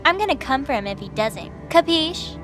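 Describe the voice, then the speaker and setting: A woman's voice: high-pitched, big pitch swings, normal speed, over music. A woman voicing a proud, bossy, threatening young phantom queen in an audio drama. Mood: bossy, menacing. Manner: bossy, brash, taunting. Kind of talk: audio drama character acting.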